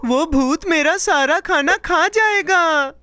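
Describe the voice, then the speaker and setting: A woman voicing a playful, food-obsessed little boy with a childlike, expressive manner in a children's cartoon. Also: very high voice, slow, noisy background. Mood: alarmed. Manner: childlike, expressive, easily bored.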